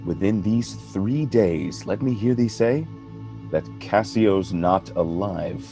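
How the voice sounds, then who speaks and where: An actor playing a proud, jealous general in a Shakespearean radio play, declaiming grand verse in a measured, volatile way. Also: male voice, lowish voice, mellow, soft background music. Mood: grim resolve.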